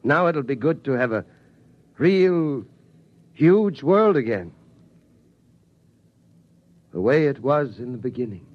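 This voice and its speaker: A man's voice: mid-range voice, sing-song, takes it slowly, long pauses. An actor playing a weary, wistful middle-aged father of a survivor family in a 1950s radio drama, speaking hesitantly. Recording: dull old radio broadcast recording.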